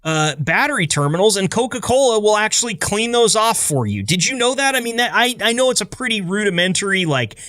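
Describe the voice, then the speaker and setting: Guy w a slightly high voice, podcast host type, chatty storytelling, full of amazement, dry close sound. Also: sing-song, a little fast, few pauses, bright, forceful tone, no echo. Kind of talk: casual conversational storytelling.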